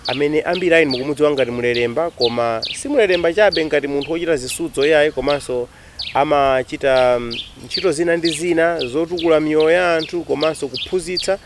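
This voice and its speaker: A man's voice: on the high side, quick pace, background noise. A man with a Malawian accent hosting a conversational interview, switching between Chichewa and English. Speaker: radio show host interviewing a guest.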